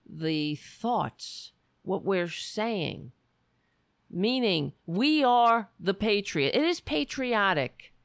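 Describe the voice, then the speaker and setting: A woman hosting a political livestream with a worn, raspy voice, talking bluntly and sarcastically while reading the chat. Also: medium pitch, no room sound. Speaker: livestream show host.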